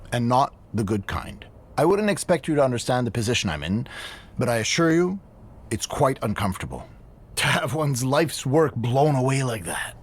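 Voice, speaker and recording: An actor playing a pompous, moralizing hotel manager who speaks with self-pitying formality in a video game scene. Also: male voice, a little high, slightly rough. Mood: uneasy, formal. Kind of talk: dramatic video game cutscene acting.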